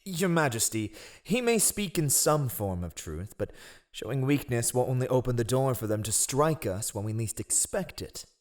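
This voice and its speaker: A man's voice: medium pitch, sing-song, moderate pace, darkish tone, close to an everyday voice. A man playing a ruthless, sardonic spy master who advises a monarch with silky, mocking courtly formality in a fantasy audio roleplay. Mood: insistent.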